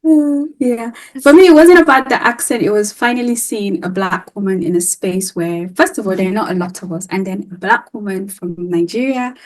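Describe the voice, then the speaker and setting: A woman in an everyday middle pitch, hosting a relaxed webinar interview. She speaks warmly and effusively, with plenty of "um"s and "like"s, and praises her guest openly. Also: normal speed, few pauses, low-quality recording. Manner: warmly effusive, many fillers. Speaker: interview host. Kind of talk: casual interview chat.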